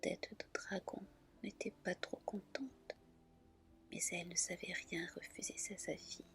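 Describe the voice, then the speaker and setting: Slow whispering woman storyteller. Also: on the low side. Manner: gentle, soft, deliberate. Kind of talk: whispered ASMR fairy tale reading.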